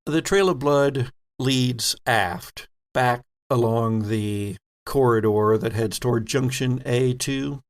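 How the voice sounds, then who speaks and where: A man narrating a tabletop horror role-playing game as game master, setting scenes deliberately with pauses mid-phrase. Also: mid-range voice.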